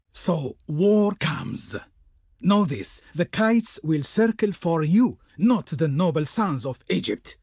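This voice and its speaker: An actor voicing a haughty ancient Egyptian envoy of the Pharaoh in a video game, speaking in florid, pompous, ceremonious declamation. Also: male voice, fairly high pitch, a little mellow, slightly rough, no echo, clean studio sound, full character voice. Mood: scornful, menacing. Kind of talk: theatrical scripted game diplomacy lines.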